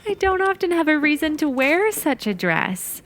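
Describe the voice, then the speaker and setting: A woman's voice: high voice, sing-song, moderate pace, a bit bright, a little hiss or hum. A woman playing a modest, thrifty, proud young wife in an audio play, polite and reserved. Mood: politely reluctant. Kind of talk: audio drama acting.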